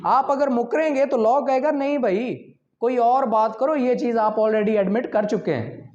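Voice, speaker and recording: A man's voice: very high voice, moderate pace, a little mellow. A man with a Pakistani accent giving an informal law lecture, explaining with examples, dropping in English terms and checking that students follow. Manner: explanatory, checks understanding with questions; mixes in English legal terms. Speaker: law teacher. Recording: dull lo-fi recording.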